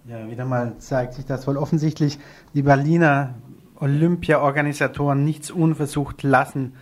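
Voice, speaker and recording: A man's voice: mid-range voice, fairly even intonation, normal speed. A man presenting a radio news magazine in an informal style.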